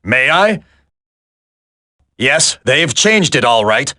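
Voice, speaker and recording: An actor playing a polite, self-assured tech specialist soldier in a dramatic video game. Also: male voice, medium pitch, sing-song, slow, bright, forceful tone, full character voice.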